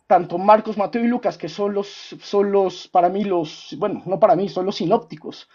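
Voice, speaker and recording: A man's voice: high-pitched, moderate pace, warm tone, dull audio. A man with a Mexican accent debating as a self-described historian, often repeating himself and talking down with "my friend". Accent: Mexican Spanish. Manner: interrupts, repeats words, patronizing "mi estimado". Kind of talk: heated debate on a call.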